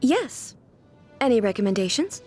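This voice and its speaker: A high-voiced, expressive regal lady in a video game. She is composed and formal, with an earnest, literal-minded curiosity. Music plays softly behind her. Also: normal speed, husky. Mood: serene, easygoing. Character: curious, earnest, regal female ruler, naive about ordinary life.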